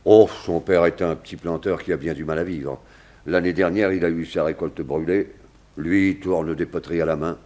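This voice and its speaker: A man's voice: deep voice, fairly even intonation, moderate pace, warm tone, lightly acted. A man playing a matter-of-fact, authoritative small-town sheriff in a 1960s French radio drama. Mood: dry, dismissive.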